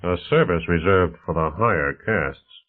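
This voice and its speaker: A man's voice: deep voice, animated, unhurried. A man voicing a sour, sardonic male city official in an audiobook, with a dry, terse manner. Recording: narrow phone-like band.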